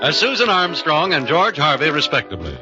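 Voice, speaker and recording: A showy, playful American man introduces a vintage radio comedy. His high voice moves widely in pitch over loud music on a dull old recording. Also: sing-song, a little fast. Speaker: radio announcer. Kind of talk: 1950s radio comedy announcing.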